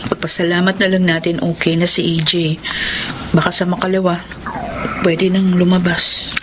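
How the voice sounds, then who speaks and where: A woman's voice: lowish voice, little pitch movement, normal speed, noisy background, close to an everyday voice. A woman playing a grateful, earnest young wife and mother in a Filipino radio drama, speaking with open emotion. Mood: subdued, worried.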